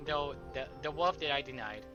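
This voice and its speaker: A man's voice: fairly high pitch, moderate pace, muffled recording. A man streaming a visual novel playthrough, chatting in a jokey, sweary way and reading text aloud. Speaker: game streamer. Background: visual novel music.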